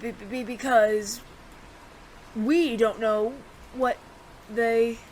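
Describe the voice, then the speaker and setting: A woman's voice: on the high side, fairly even intonation, very slow, darkish tone, slight background noise, rough recording. A woman playing a nervous, evasive alien roommate in an audio roleplay, stammering and over-explaining to the listener. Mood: evasive, improvising.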